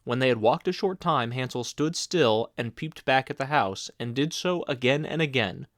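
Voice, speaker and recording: A man narrating a classic fairy tale in a steady, clear storybook reading. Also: mid-range voice, moderate pace, no echo, studio quality. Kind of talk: fairy tale read-aloud.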